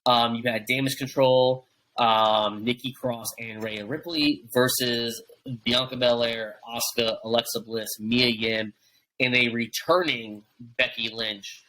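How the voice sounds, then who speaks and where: Bright-voiced rambling young podcast host. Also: male voice, mid-range voice, steady tone, measured pace, low-quality recording. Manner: rambling, many fillers and restarts. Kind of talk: casual wrestling podcast chat.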